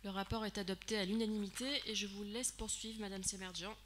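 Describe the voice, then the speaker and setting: A woman chairing a municipal council, briskly thanking speakers and calling the votes. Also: mid-range voice, level tone, a bit bright, slight background noise. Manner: brisk, routine, procedural. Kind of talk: chairing a vote, formal procedure.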